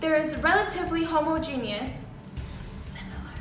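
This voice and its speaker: High female presenter, teacherly; echoey room from afar, music beneath. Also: animated, moderate pace, far from the mic. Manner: explaining, teacherly, with asides. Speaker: mock statistician guest. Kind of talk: scripted explanatory presentation.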